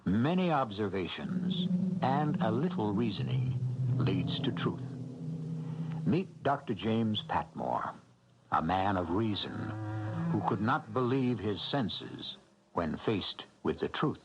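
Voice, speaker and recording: Low, measured male radio mystery host. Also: big pitch swings, highs cut off, heavy background noise. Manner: measured, weighty, with deliberate pauses. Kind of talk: dramatic scripted radio introduction. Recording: old radio recording with music.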